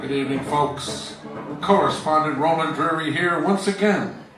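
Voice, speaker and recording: Echoey and set back from the mic, this is a showy old-time radio announcer acted by a man, with music underneath. He delivers his lines slowly and theatrically, as a parody of 1940s broadcasting. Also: on the high side, lightly acted. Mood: wry announcing. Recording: echoey, distant, music behind.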